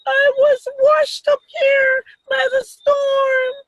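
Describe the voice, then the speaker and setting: A woman's voice: very high voice, completely flat delivery, slow, a bit bright, no echo, highs cut off, voice pushed up, heavily acted. A woman voicing a tiny baby seal in a storybook, lost, hungry and scared, crying as it talks. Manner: wailing, crying delivery.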